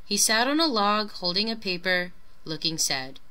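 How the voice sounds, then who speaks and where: A woman reading a children's picture book aloud in an expressive, dramatic storytelling manner with clear phrasing. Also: medium pitch, moderate pace. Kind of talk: expressive scripted storybook narration.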